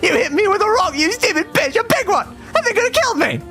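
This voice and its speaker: A shrill, sneering village bully, as a man reading aloud puts him on, climbing high and leaping around in pitch. He taunts and yells with raw meanness, and a little music sits faintly behind him. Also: very animated, takes it slowly, exaggerated voice. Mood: shrill anger. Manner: taunting, shouting. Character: vicious bully boy, loud and sneering.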